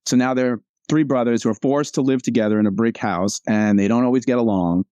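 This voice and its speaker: Male interview guest, casual and rambling, self-correcting, with a warm, relaxed tone and dry sound. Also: medium pitch, a little fast, clean tone, no room sound, lo-fi sound. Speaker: comic strip cartoonist, interview guest.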